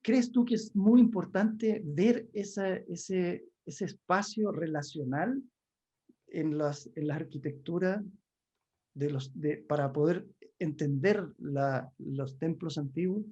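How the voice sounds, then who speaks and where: A man's voice: on the high side, a little slow, warm tone, breathy edge. A man hosting an interview, asking his questions hesitantly and searching for words with many restarts. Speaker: interview host. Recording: dull lo-fi sound.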